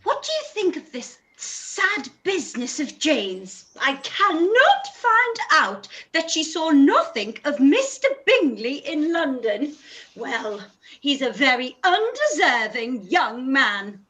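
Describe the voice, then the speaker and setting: A woman's voice: high-pitched, big pitch swings, takes it slowly, pushed and bright, heavily acted. A woman playing a fussy, dramatic middle-aged mother in a live-read radio play, gushing and complaining. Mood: grumbling.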